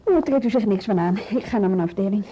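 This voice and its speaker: A woman's voice: mid-range voice, fast talker, warm tone, slightly rough, lightly acted. An actress playing a self-assured, understanding policewoman in a radio drama, frank and composed. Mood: cool, defiant. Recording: dull, noisy old radio recording.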